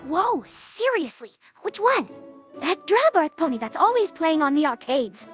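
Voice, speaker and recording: Brash, loyal young female pegasus pony, very high and swooping, youthful and cocky, informal cartoon dialogue. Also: very animated, measured pace, soft background music, exaggerated voice. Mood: excited. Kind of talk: animated cartoon-style audio drama dialogue.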